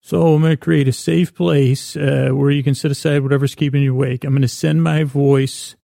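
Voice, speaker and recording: Creaky-voiced man rambling through a bedtime podcast. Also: mid-range voice, level tone, dark tone, no room sound, clean studio sound. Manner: meandering, halting, repeats and doubles words. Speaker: podcast host. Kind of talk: rambling, whimsical bedtime monologue.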